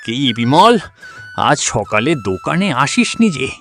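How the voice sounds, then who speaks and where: A man's voice: mid-range voice, sing-song, measured pace, a bit bright, faint music behind. A man playing a fed-up village tea seller in a cartoon, with a firm, complaining manner. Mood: inquiring. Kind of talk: Bengali cartoon dubbing.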